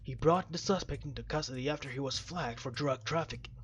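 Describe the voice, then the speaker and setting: A man voicing a sombre, apologetic male police officer in a visual novel, low, measured and subdued. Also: lo-fi sound, natural voice. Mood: sober.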